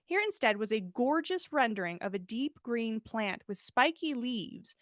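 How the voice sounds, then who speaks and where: A woman narrating fiction aloud as a podcast host, animated and expressive in the way she tells it. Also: a little high, a little slow, no room sound, studio quality. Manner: animated storyteller, acts out dialogue. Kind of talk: expressive story narration, livestream read-aloud.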